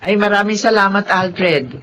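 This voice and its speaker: An actress with a Filipino accent playing a chatty, hard-working middle-aged street vendor in a radio drama. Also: female voice, medium pitch, a little slow, fairly bright tone, a little hiss or hum, natural voice. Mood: friendly, grateful. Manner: folksy, chatty.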